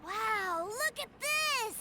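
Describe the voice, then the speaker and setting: A woman's voice: very high-pitched, expressive, drawn-out words, a crowd in the background, full character voice. A woman voicing an innocent, eager little boy in a video game, wide-eyed and exclamatory. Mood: delighted. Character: eager innocent little boy who adores his big brother. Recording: game audio under loud music.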